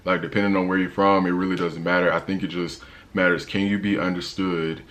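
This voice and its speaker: A young man with a deep voice, talking casually in a travel vlog with a laid-back, slangy manner and lots of fillers. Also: level tone, brisk, fairly bright tone. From United States. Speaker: travel vlogger.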